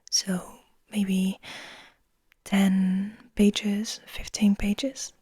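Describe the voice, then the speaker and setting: Breathy whispering woman, slow gentle delivery, intimate ASMR host, soft-spoken. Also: medium pitch, little pitch movement, dry recording, studio quality.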